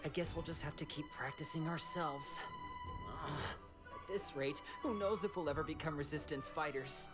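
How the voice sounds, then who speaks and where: A woman's voice: low-pitched, normal speed, loud music behind the voice, lightly acted. A woman playing an earnest, hesitant young army trainee in an anime fantasy game, easily discouraged and respectful. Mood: dejected.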